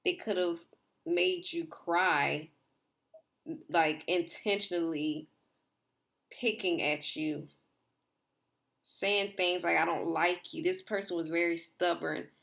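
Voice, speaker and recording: A slightly hoarse woman talks her viewers through a tarot spread in a loose, rambling way, saying "like" again and again. Her voice sits low and carries a gravelly fry. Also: fairly even intonation, takes it slowly, long pauses, a bit bright. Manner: rambling, repeats herself, filler "like". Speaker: tarot reader. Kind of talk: casual tarot reading to viewers.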